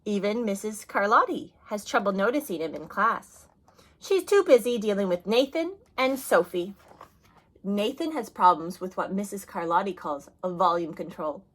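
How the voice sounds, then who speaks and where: Woman storyteller reading a picture book aloud, expressive, mid-pitched. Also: a little slow. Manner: expressive, dropping soft for sad moments. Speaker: reader.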